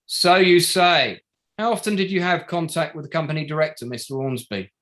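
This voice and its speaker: An actor playing a probing, sardonic barrister at a public inquiry in a play reading, formal and pointed, pressing with rhetorical questions. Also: male voice, fairly high pitch, brisk, a bit bright, lightly acted. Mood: sceptical, pressing. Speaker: actor in an online table read. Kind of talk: courtroom-style cross-examination in a play reading.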